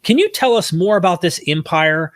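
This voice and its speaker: Male podcast host, lively voice, upbeat interviewer, dry close recording. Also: sits high, moderate pace, no room sound. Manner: friendly, promotional, questioning. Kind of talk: interview hosting.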